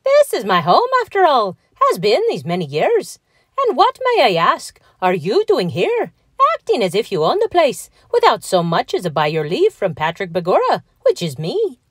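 A woman's voice: mid-range voice, wildly swooping pitch, normal speed, breathy edge, dry recording, clean studio sound, pitched above the performer's own voice. A woman voicing a proud, indignant little man of the fairy folk in a storybook, scolding in formal old-fashioned phrases. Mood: indignant, challenging.

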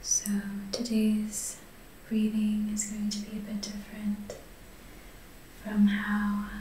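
A woman speaks in a hushed, breathy ASMR style, guiding gently and very slowly. Her tone is extremely mellow and soothing, with little rise or fall. The sound has a noticeable roomy echo. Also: mid-range voice, a little hiss or hum. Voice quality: breathy, whispery, soft-spoken. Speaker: ASMR Reiki practitioner and host. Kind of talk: soft-spoken ASMR guided healing session.

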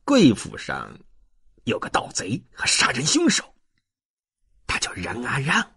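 A sly old swindler from an audiobook, acted out by a male reader. He oozes false respect in a slow, nasal, husky voice. His pitch swoops wildly as he hesitates and wheedles. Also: wildly swooping pitch, pushed and bright, no echo, clean studio sound, exaggerated voice. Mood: sly, ingratiating. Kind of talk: audiobook character acting.

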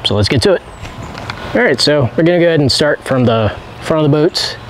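A man in his thirties, a channel host, casually walking viewers around a boat outdoors, talking into a handheld camera with relaxed fillers. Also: a little high, fairly quick, a little hiss or hum, rough recording. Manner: relaxed, talks to viewers with fillers while pointing things out. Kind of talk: casual walkthrough vlog. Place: outdoors in a driveway beside a boat on a trailer. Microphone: handheld camera carried around, earlier on a tripod.

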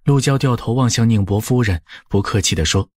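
A man narrating an audiobook as expressive scripted storytelling, with a husky voice. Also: on the low side, brisk, no room sound, clean studio sound.

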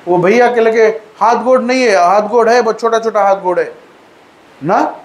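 A man's voice: high voice, normal speed. A man preaching a sermon and leading prayer, exhorting with repeated refrains of praise and slipping English phrases into his speech. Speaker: preacher leading a church service.

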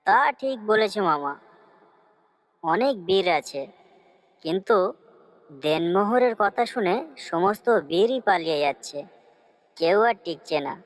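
A woman's voice: mid-range voice, unhurried, long pauses, close to an everyday voice. Woman voicing a coaxing, cunning cartoon fox. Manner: coaxing, flattering. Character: cunning fox, sweet-talking helpful schemer. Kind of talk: cartoon story dialogue.

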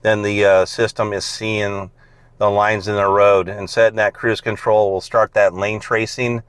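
Smooth-voiced man touring a car. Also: on the low side, little pitch movement, a little slow, fairly bright tone, dry recording. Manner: explains features step by step with frequent fillers. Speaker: car salesman. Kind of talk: casual car walkaround. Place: in and around a car outdoors on a dealer lot.

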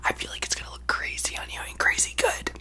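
A young man voicing a brash, self-absorbed young female pop star in a breathy, gushing ASMR whisper close to the microphone. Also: fast, pushed and bright. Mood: excited. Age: young adult. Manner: gushing, exaggerated, boastful, rambling. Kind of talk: ASMR roleplay, celebrity parody.

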